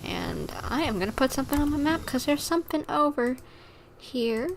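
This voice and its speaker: A woman's voice: high voice, lively intonation, moderate pace, gravelly, poor audio. Chatty woman streaming a game, faint noise. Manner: chatty, reacting aloud to the game. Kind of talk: casual live gameplay commentary.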